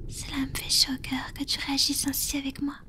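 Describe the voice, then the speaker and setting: A woman voicing a tender, affectionate female vampire in a soft, breathy, intimate whisper for an ASMR roleplay. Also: high-pitched, monotone, brisk. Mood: teasing, fond.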